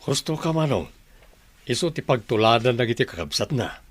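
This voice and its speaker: A man playing a humble, devoted father in a radio drama, with a husky voice and a gentle, expressive manner. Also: medium pitch, moderate pace, no echo, natural voice. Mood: earnest. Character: humble, devoted father proud of his children. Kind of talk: Ilocano radio drama acting.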